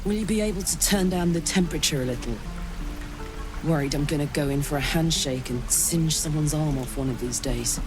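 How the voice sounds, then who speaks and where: A brash, warm-hearted hellish warrior woman acted by a woman from a fantasy video game. Her voice sits on the low side and she talks bluntly, like a soldier among mates. Music plays behind her. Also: brisk, natural voice. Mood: joking, rueful. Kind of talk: video game character acting.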